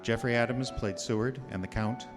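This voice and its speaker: A man's voice: medium pitch, normal speed, over music. A man announcing the credits of a live radio theatre show from the stage.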